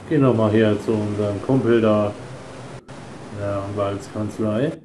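A man's voice: lowish voice, level tone, moderate pace, warm tone. Roomy-sounding man commenting on a game. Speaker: let's player. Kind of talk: casual gameplay commentary. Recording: roomy echo, faint background noise.

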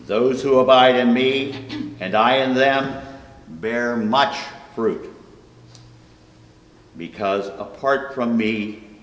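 A man in his seventies reading scripture solemnly, with deliberate, declamatory phrasing. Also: medium pitch, a bit bright. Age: 70s. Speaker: scripture reader. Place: church sanctuary at a wooden pulpit, indoors. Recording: echoey hall with long reverb.

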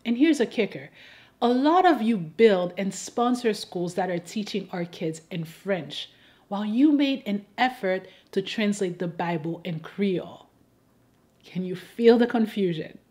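A woman from Haiti speaks as the host of an advocacy video, in a mid-range voice. Her delivery is scripted and persuasive. She makes her points deliberately, with a wry, rhetorical edge. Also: medium pitch, expressive, dark tone. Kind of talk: scripted persuasive commentary addressed to camera.

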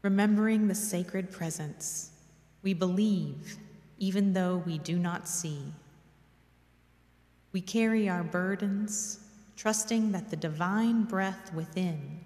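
A middle-aged woman giving a solemn, measured devotional reading as a prayerful reader at a church service. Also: mid-range voice, mellow. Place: large dim church, at a lectern. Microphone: gooseneck lectern microphone. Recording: echoey church with long reverb.

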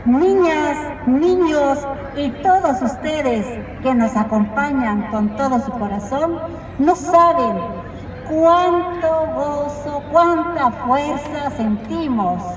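A Mexican woman from Oaxaca giving a deliberate, emphatic activist speech at an outdoor street rally, with a Mexican accent. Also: sits high. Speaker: activist speaker. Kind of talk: protest speech. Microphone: PA speaker, filmed from a distance. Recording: echoey outdoor PA, distant, noisy crowd.

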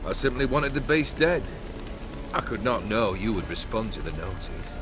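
A man's voice: on the low side, sing-song, moderate pace, noisy background. An actor playing a haughty, cynical middle-aged nobleman bandit leader in a fantasy game, theatrical and sardonic in formal, archaic phrasing. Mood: curt, dismissive. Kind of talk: fantasy video game cutscene acting.

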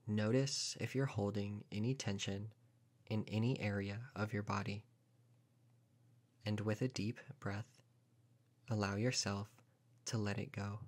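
A man guiding a meditation in a calm, unhurried, gently instructing manner. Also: on the low side. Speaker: meditation teacher. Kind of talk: guided meditation narration.

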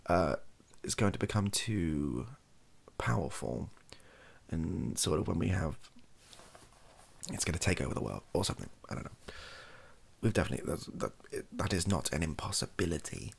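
A young man with a British accent chats softly in a very low voice full of creak. His delivery is rambling and improvised, swinging freely up and down in pitch as he makes dry, offhand asides. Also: lively intonation, normal speed. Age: young adult. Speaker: host of a comedy ASMR channel. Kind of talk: improvised soft-spoken monologue, casual chat. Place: small cluttered room indoors.

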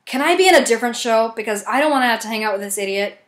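A woman's voice: a little high, expressive, racing, a bit bright, voice pushed down. A woman voicing an irritated, snooty teenage cartoon girl with a scornful, exasperated manner. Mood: scornful, annoyed. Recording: echoey room.

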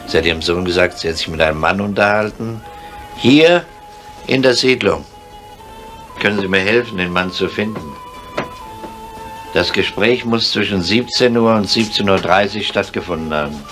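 An actor playing a gruff middle-aged police chief inspector in a 1970s German radio crime drama. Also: male voice, sits low, normal speed, a bit bright, soft background music. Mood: questioning. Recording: faintly noisy 1970s radio recording.

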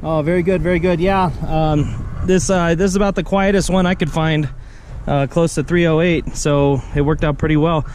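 A man's voice: a little high, fairly even intonation, moderate pace. A middle-aged American man chatting casually as a radio operator, repeating phrases so they get across. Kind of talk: casual radio chat while demonstrating gear. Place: outdoor picnic table on a wooded mountain. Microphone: camera on the table nearby. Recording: outdoor camera audio with clear noise.

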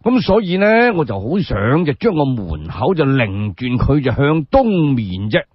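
A man's voice: mid-range voice, big pitch swings, measured pace, breathy edge, dry recording, muffled recording. A man voicing a leisurely, self-indulgent old duke in a radio storytelling, drawling hesitantly with small chuckles. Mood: drawling, pleased.

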